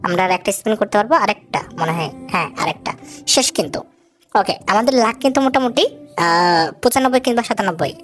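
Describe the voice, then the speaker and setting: A woman with a Bangladeshi accent hosting a gaming video, chatting casually to viewers as she comments on her gameplay. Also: medium pitch. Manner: chatty, addresses viewers directly with casual asides. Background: background music.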